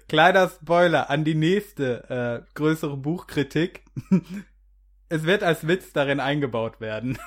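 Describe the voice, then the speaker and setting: Slightly high-voiced man, wry and amused. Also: animated, takes it slowly. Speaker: podcast participant, video critic. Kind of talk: casual chat.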